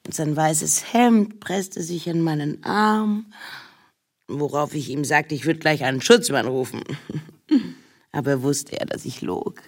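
An actress in a radio drama playing a brash, vulgar, defiant mistress who puts on airs, with a theatrical, provocative manner. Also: female voice, deep voice, takes it slowly, full character voice. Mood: excited, flirtatious.

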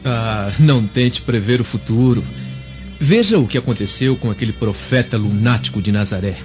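A man acts as a deferential, reflective servant in a radio drama. His voice sits at a middle pitch and his phrasing is measured and respectful. Faint music plays under a slightly dull recording. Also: expressive, a little mellow, natural voice. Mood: respectful, thoughtful. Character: thoughtful, deferential male slave and confidant.

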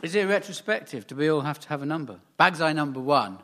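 A man, a comedy panelist riffing in a dry, rambling deadpan. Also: a little high, quick pace, very noisy. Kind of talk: comic panel banter.

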